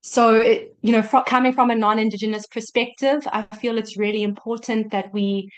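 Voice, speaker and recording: A woman's voice: fairly high pitch, fairly even intonation, moderate pace. A woman from South Africa speaking earnestly and reflectively as co-host of an online community conference, with frequent restarts, heard over a video call. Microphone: computer mic on a video call.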